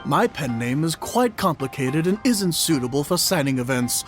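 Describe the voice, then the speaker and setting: A man voicing a modest, grateful author in a video game, formal yet expressive. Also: on the high side, moderate pace, faint music behind, lightly acted. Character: modest, grateful male author with pen name.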